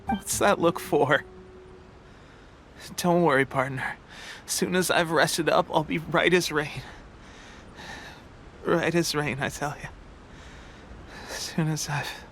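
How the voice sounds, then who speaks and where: An actor playing an ailing young soldier in a video game, weary and faltering while trying to stay light-hearted. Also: male voice, a little high, steady tone, a bit bright, slight background noise. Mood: brave, fading. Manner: halting, trailing off, bravely light-hearted. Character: ailing young soldier, earnest, putting on brave cheer. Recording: music behind the voice.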